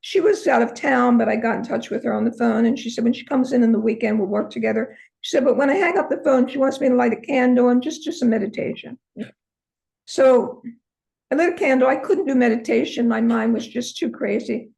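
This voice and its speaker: An older woman with a hoarse voice, telling her personal story as an interview guest. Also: on the high side, fairly quick, darkish tone, low-quality recording. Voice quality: hoarse, from a vocal cord injury. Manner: earnest storytelling, many ums. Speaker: interview guest, holistic practitioner.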